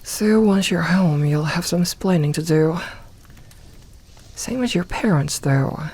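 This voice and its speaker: A woman voicing a gentle, comforting young werewolf in a soft ASMR roleplay, talking intimately and reassuringly to one listener with light humour. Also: on the low side, a little slow, slightly rough. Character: gentle, comforting young female werewolf, playful self-styled lone wolf.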